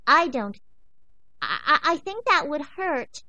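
A woman plays a friendly young storybook dog with a high, eager voice. He is polite and shy and stammers when nervous. The sound is dry and close. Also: moderate pace, pushed and bright, no room sound, muffled recording.

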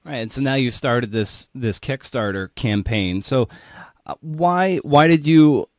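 A man's voice: medium pitch, lively intonation, unhurried, dry recording, studio quality. A man hosting an interview podcast, asking questions informally and rephrasing them as he goes.

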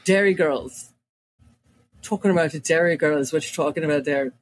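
A woman's voice: low voice, a little fast. A woman doing an impression of a young woman from Derry, in a Northern Irish accent. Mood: playful. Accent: Northern Irish attempt.

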